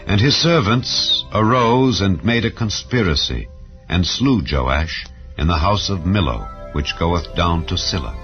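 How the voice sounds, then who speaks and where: A man giving a measured, solemn scripture reading over soft background music. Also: sits low, animated, dull audio. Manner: measured, solemn reading with rising and falling phrases. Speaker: Bible reader.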